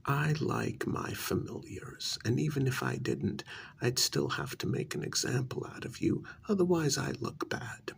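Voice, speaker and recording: A man playing a cruel, haughty vampire aristocrat in an ASMR role-play, speaking in a gravelly, mock-sympathetic drawl that often drops to a whisper. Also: medium pitch, lively intonation, unhurried, a little hiss or hum. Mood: stern, threatening. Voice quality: gravelly, creaky. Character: cruel, haughty male high-society vampire taunting a captive. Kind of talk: ASMR role-play, intimate dramatic monologue.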